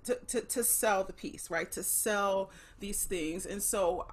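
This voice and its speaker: A woman's voice: medium pitch, slow, slightly rough. A middle-aged woman, an opera soprano, chatting on a video call from her living room, thoughtful and full of restarts. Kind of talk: casual chat on a video call.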